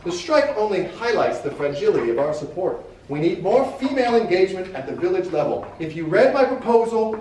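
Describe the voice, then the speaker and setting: A woman's voice: fairly low pitch, sing-song, brisk, hardly any pauses, dark tone, far from the mic, slight background noise, pitched above the performer's own voice. A man voicing a blunt, impatient female army major who speaks sharply, in a roomy hall away from the mic. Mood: earnest.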